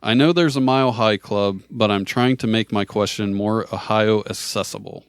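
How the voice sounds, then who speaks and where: A man's voice: fairly low pitch, normal speed, clear, resonant tone, dry recording, clean studio sound. An American man hosting a casual comedy podcast in an easygoing conversational way. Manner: laughs often while talking. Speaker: podcast host.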